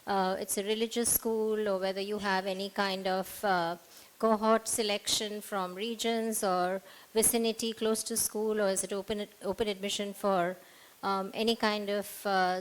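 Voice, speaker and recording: A middle-aged woman speaks into a podium microphone at a conference. She works methodically through a list of points, often pausing on fillers. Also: medium pitch, low-quality recording. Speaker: school counselor. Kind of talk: conference presentation. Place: hotel conference room, at a podium.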